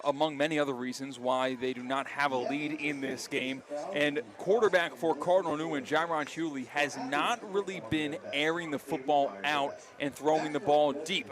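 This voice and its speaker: A man calling a live football broadcast as play-by-play announcer, with emphatic, wordy sportscaster phrasing. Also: on the high side. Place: outdoor stadium broadcast position. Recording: live stadium broadcast with crowd noise. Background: stadium crowd.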